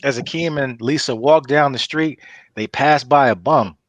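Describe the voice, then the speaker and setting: A man's voice: mid-range voice, normal speed, no room sound, muffled recording. A man narrating the stage directions of a comedy table read, reading scripted lines with casual asides.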